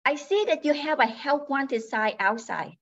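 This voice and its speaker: A woman's voice: high-pitched, lively intonation, normal speed, a bit bright, dull audio. A woman playing a plucky, polite young expectant mother seeking work in an old-time radio drama, earnest and formal. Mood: eager, earnest. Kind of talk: 1940s radio drama acting.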